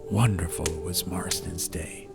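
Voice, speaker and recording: A man's voice: sits low, fairly even intonation, a little slow, creaky, loud music behind the voice. A man reading a scripted atmospheric storybook tale as an audiobook narrator. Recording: faint noise and ambience behind voice. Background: ambient background sound.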